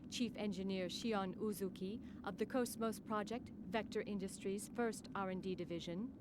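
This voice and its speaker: A woman voicing a calm, formal female android combat system in a game cutscene, speaking in a flat, precise way. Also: medium pitch, background noise, close to an everyday voice. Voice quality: flat, precise, emotionless delivery. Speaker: game character. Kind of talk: dubbed game cutscene acting.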